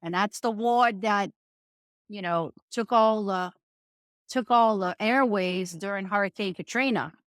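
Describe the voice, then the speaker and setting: A woman's voice: mid-range voice, unhurried, dry recording, clean studio sound. A middle-aged woman from New Orleans with a local accent, telling stories in a folksy, chatty way as a podcast guest. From New Orleans, Louisiana. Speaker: guest, restaurant owner. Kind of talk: casual interview chat.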